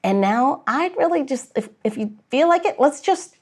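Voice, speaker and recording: A woman's voice: high-pitched, animated, normal speed. Folksy woman hosting a podcast. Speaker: podcast host.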